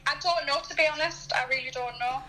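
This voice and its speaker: A woman's voice: high voice, fast, crowd noise, low-quality recording. A woman in her early thirties from Newcastle with an English accent, chatting candidly and earnestly about herself. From Newcastle, England. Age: early 30s. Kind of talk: candid personal chat.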